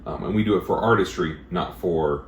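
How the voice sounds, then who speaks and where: A man who teaches blacksmithing, talking in a casual interview with a booming, emphatic way of explaining things at length. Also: fairly quick. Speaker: blacksmith instructor. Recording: echoey room with faint noise.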